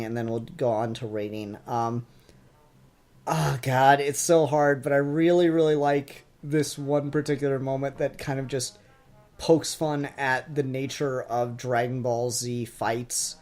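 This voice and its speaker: A man hosting a podcast in a casual, animated review chat. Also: medium pitch, measured pace. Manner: giggly, animated quote re-enacting. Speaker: podcast host.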